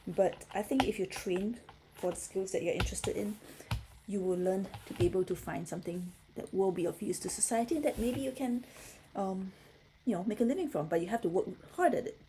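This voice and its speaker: Middle-aged woman from Singapore, mellow, relaxed livestream host, faint noise behind. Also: medium pitch, fairly quick, low-quality recording. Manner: chatty, reads and answers chat comments. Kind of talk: casual livestream chat.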